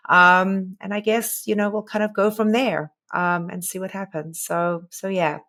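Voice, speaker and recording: A woman co-hosting a podcast in casual conversation, talking in a hesitant way with many pauses to find her words. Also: mid-range voice, flat delivery, fairly bright tone. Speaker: podcast co-host. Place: lives in the United States.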